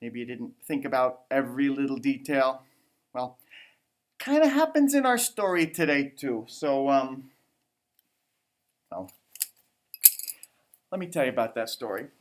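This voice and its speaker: A man hosting a storytime for children, reading and chatting in a theatrical, halting, conversational way. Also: mid-range voice, very animated. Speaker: storytime host and teacher. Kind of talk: children's storybook read-aloud with chatty asides.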